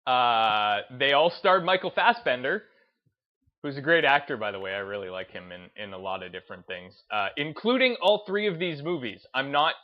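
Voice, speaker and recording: A man's voice: mid-range voice, sing-song, moderate pace, dull audio. A man giving unscripted opinion commentary in a hesitant, rambling way, often restarting his phrases. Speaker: host of a gaming video channel.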